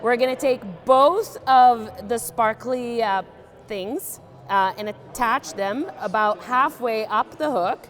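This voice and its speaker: A young woman tying a fishing fly in a joking, deadpan demonstration, with many ums and uhs. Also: on the high side, slow pace. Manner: deadpan, playful, many fillers. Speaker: guest fly tier.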